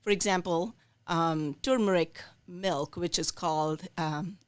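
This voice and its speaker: A middle-aged woman from India talks through her career as a podcast guest. Her voice sits a little low and she fills pauses with plenty of ums. She tells her story in a warm, unhurried way. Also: clean tone. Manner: warm, storytelling with many fillers. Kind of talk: interview, telling her life story.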